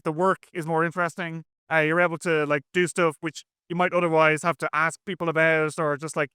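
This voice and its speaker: Bright-voiced, chatty man. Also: on the high side, clean tone, dry recording, clean studio sound. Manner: chatty, rambling, slangy. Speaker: interview guest, software engineer. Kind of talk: casual conversational interview.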